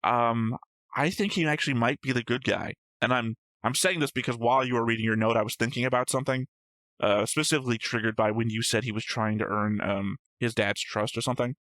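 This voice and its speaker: A man's voice: mid-range voice, fairly even intonation, fairly quick, fairly bright tone, no echo, rough recording. A man co-hosting a reaction show, reasoning aloud in a casual, argumentative discussion of story theories. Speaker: co-host of an anime reaction show.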